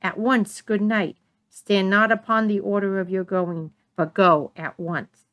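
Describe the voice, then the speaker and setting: A woman acts out a commanding Shakespearean queen in a dramatic reading. She speaks slowly and deliberately at a medium pitch, composed and in control. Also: fairly even intonation, a bit bright, natural voice. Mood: firm, urgent. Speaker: amateur reader in a dramatic reading.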